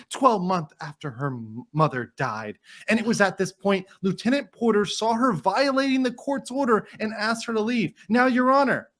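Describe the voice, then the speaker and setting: A man's voice: high voice, fairly bright tone. A man doing a dramatic reading as an indignant, courteous trial lawyer, formal and emphatic as he argues to a judge.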